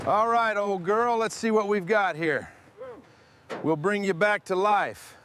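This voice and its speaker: A man's voice: sits high, unhurried, fairly bright tone, a little hiss or hum. A man giving hands-on workshop commentary as a vehicle restorer, in short instructional remarks to helpers.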